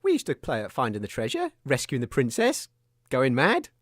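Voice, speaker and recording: A man's voice: medium pitch, wildly swooping pitch, moderate pace, slightly rough, no echo, studio quality. An actor, a man, playing a mild, hesitant, kindly co-host on a comedy radio show. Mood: admiring. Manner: hesitant, fussy, gentle. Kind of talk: scripted comedy radio banter.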